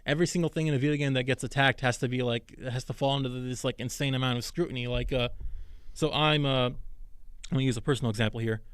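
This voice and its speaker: A man's voice: medium pitch, fairly even intonation, quick pace, clear, resonant tone, dry recording. A man from Iraq hosting a casual live podcast, rambling with lots of restarts and fillers, sounding sleepy. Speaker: podcast host.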